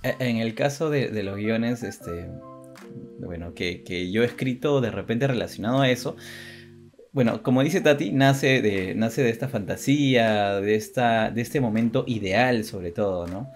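A man's voice: lowish voice, unhurried. A young man co-hosting a casual video podcast, hesitant and rambling, often repeating his phrases. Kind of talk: casual chat. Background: background music.

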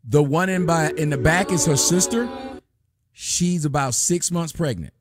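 A man, hosting a live stream from home and mocking the clips he shows in loud, preachy rants. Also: a little high, unhurried, over music. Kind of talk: ranting commentary on live streams. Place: home room with a fireplace.

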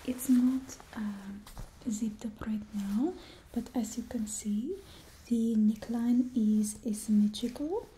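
A woman's voice: fairly high pitch, mellow, slight background noise, low-quality recording. A young woman voicing a bossy female stylist to supervillains with a put-on Russian accent, whispering blunt remarks close to the mic. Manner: blunt and condescending. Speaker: ASMR creator. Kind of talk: ASMR roleplay. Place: indoor studio with painted backdrop. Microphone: close ASMR mic.

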